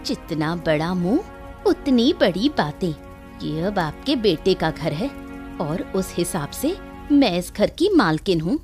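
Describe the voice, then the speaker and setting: A woman's voice: lowish voice, big pitch swings, slow pace, a little mellow, soft background music. A woman voicing a cruel, bossy young daughter-in-law with a scornful, scolding manner in an acted story. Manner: scolding, taunting. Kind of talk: Hindi audio story acting.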